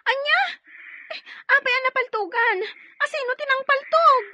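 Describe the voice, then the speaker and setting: A woman's voice: extremely high pitch, moderate pace, bright, forceful tone, no room sound, dull audio, full character voice. A woman with an Ilocano Filipino accent acting an emotional, curious young student in an audio drama. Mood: distraught.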